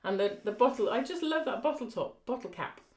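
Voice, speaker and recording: A woman's voice: a little high, lively intonation, brisk, darkish tone. A woman co-hosting a casual live craft show, chatting in an encouraging, appreciative way. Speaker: co-host of a craft live stream. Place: indoor craft room. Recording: echoey room.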